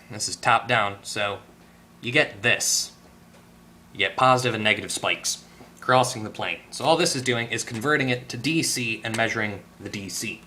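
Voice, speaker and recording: A man giving a casual hands-on electronics repair tutorial, tentative and thinking out loud as he goes. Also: medium pitch, slight background noise. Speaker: repair video host. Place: at a workbench indoors.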